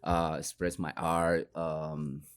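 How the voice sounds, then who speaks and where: A man's voice: very deep voice, little pitch movement, slow, smooth, no room sound. A man from Peru, a native Spanish speaker with a Peruvian accent, chatting casually and hesitantly, searching for words. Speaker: guest, tattoo artist. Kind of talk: casual podcast chat.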